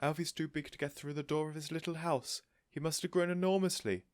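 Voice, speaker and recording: Man putting on a high-pitched, gushing storybook lady. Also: fairly even intonation, a little fast, smooth, no echo, studio quality, close to an everyday voice. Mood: excited, delighted. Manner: shrill, exclamatory, effusive. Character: excitable, adoring lady neighbour, gushing with delight. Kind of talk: storybook character voice.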